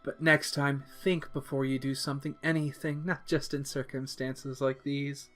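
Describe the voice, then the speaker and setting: Stern male villain doctor played by a narrator, formal, clipped, exasperated, scolding, mid-pitched, soft music behind. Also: flat delivery, normal speed, lightly acted. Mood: weary.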